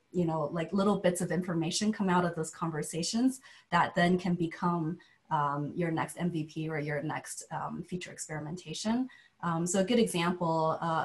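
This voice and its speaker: A woman panelist speaks over a video call in a low, relaxed voice. She tells an example at an easy pace, filling pauses with "um" and "you know". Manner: relaxed, many fillers, storytelling. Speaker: panelist, engineering leader.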